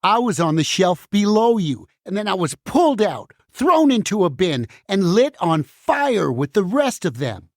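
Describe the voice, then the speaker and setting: Casting: a woman as a grim, urgent banned-book puppet, theatrical, widely swinging pitch, drawn-out delivery, dry sound. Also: very animated, darkish tone, no room sound. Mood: grim, dramatic. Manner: dramatic, urgent, interrogating. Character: frantic, world-weary banned book, burned and warning others. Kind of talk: musical puppet show acting.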